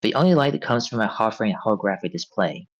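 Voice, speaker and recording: A man's voice: on the low side, lively intonation, fast talker. A man narrating stage directions from a script at a table read. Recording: dull, lo-fi audio.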